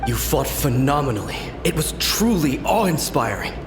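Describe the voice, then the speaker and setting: A man's voice: fairly high pitch, big pitch swings, normal speed. A man voicing a loyal, formal young samurai soldier in a game. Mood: earnest. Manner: formal and dutiful. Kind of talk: game voice acting. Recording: roomy and distant under music.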